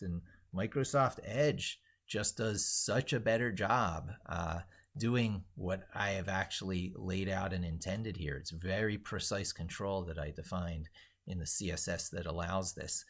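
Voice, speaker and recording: A man hosting a casual tech livestream, thinking aloud in a rambling, emphatic way with a gravelly, husky voice. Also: sits low, fairly bright tone. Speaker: livestream host.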